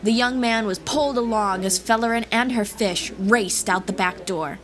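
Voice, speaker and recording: A woman's voice: a little high, normal speed, fairly bright tone. A woman reading scripted fantasy audiobook narration as the storyteller, with music behind her. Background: background music.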